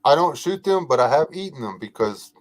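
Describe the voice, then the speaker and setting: A middle-aged man co-hosting a casual podcast livestream, a laid-back joking storyteller with a soothing voice and frequent filler pauses. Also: medium pitch, animated, rough recording. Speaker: podcast co-host.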